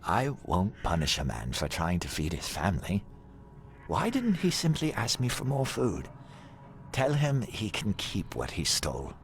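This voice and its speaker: Game aristocrat, male: deep, courteous, benevolent, formal phrasing with animated melody. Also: a little hiss or hum, exaggerated voice. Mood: generous, kindly. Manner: formal, measured, polite. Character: courteous, benevolent male heir of an influential family. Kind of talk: video game cutscene dialogue.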